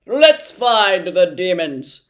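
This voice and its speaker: A woman's voice: on the low side, sing-song, slow pace. Woman doing a blustering humbug bug. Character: boastful timid male humbug insect.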